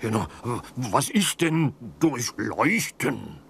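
A man's voice: medium pitch, sing-song, takes it slowly, creaky, fairly bright tone, a little hiss or hum, heavily acted. A man playing a small, earnest and naive bear in a children's audio play, protective in manner. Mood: puzzled.

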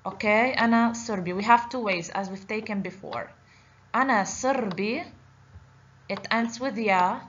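A woman's voice: mid-range voice, fairly bright tone. Woman teacher going thru a language lesson step by step, kinda lo-fi voiceover w/ a bit of hiss. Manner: patient, explaining step by step with frequent "okay?". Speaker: Arabic teacher. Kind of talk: online language lesson over slides. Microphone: voice-over on slides. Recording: slightly dull lo-fi voice-over with faint noise.

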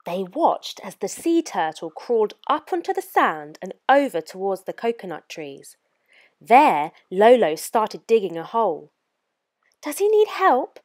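A woman narrating a children's picture book in an expressive, clearly paced read-aloud manner for young listeners. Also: medium pitch, a little slow. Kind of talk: expressive scripted storybook narration.

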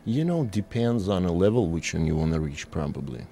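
Man from Kazakhstan, low and dark, gravelly, short halting replies, TV studio desk mic. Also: lively intonation. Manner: short hesitant answers in non-native English. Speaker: dance studio owner. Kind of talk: studio interview guest.

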